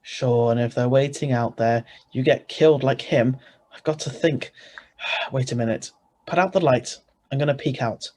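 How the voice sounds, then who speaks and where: A man's voice: medium pitch, normal speed, darkish tone, natural voice. Man playing a tense, protective ordinary husband. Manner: urgent, plain-spoken. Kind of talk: 1940s-style radio drama acting and narration. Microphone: video call.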